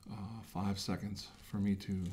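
Male, middle-aged, lowish voice: man acting as earnest scientist-commander briefing his squad in amateur sci-fi role-play, halting delivery, noisy track. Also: completely flat delivery, warm tone, natural voice. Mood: earnest, commanding.

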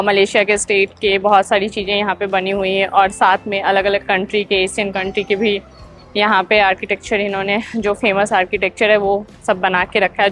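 A woman in her thirties giving a chatty travel vlog tour with a lapel mic, pausing with "uh" as she explains things to viewers. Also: medium pitch, a bit bright. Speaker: travel vlogger. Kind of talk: casual travel vlog narration. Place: outdoor theme park. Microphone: clip-on lapel mic.

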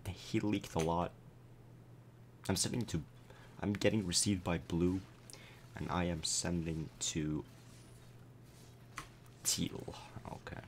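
A man's voice: deep voice, fairly even intonation, takes it slowly, pauses often, a little hiss or hum. A young man commenting casually on his gameplay at night, his voice cracking and strained after a concert, with many fillers as he explains.

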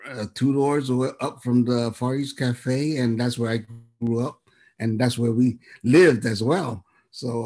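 An older American man shares memories informally on a video call. He has an average-pitched voice and a rambling, halting delivery, often repeating words as he gathers his thoughts. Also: dark tone. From San Francisco, United States. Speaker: guest speaker, artist and storyteller. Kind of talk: informal reminiscing.

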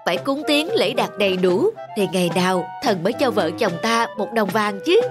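An actress playing a greedy, scheming old peasant wife in an animated folk tale, bossy and eager. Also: female voice, medium pitch, moderate pace, over music, lightly acted.

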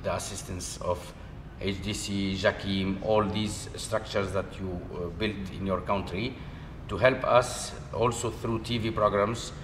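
A middle-aged man answering interview questions in halting, non-native English, pausing often with "uh" fillers. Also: lowish voice, steady tone. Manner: hesitant, halting, with frequent "uh" fillers. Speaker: interview guest. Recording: echoey hall with background noise.

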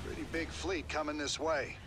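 A man voicing a gruff, easygoing, middle-aged rebel commander in a video game, with a laconic, casual drawl. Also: on the high side, fairly even intonation, normal speed, noisy background, natural voice. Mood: steady, urgent. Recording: game music behind.

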